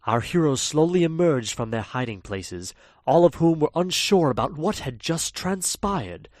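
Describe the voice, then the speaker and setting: A man's voice: a little high, expressive, measured pace, darkish tone, dry recording. Man acting as ominous horror-tale narrator. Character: ominous storyteller addressing his viewers.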